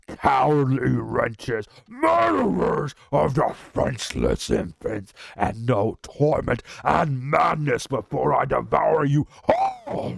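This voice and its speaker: A colossal ancient dragon, howling with rage and grief. The bellowed threats come slow and drawn out, with a gravelly rasp under them, recorded dry and close. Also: male voice, mid-range voice, dry recording, voice pushed up, heavily acted. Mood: furious bellowing. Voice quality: howling, roaring delivery. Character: colossal ancient male dragon, raging and grieving.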